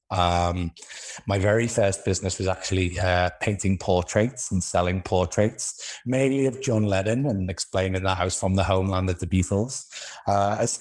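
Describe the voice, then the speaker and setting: A man's voice: deep voice, a little mellow. A man with a Liverpool accent talking casually as an interview guest, feeling his way through his thoughts in a hesitant manner. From Liverpool, England.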